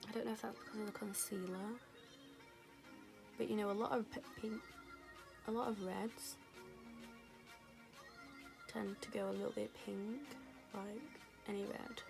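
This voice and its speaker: A young woman, rambling casually through a makeup tutorial, her voice going hoarse. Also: medium pitch, little pitch movement, measured pace, pauses often, low-quality recording. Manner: chatty, rambling, lots of fillers. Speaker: beauty vlogger. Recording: music playing behind.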